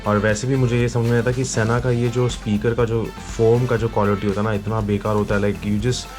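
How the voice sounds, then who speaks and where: Low-voiced Indian man reviewing gear over music. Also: level tone, a little fast. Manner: informal, explanatory, addresses viewers as guys. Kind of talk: casual product review, mixing Hindi and English.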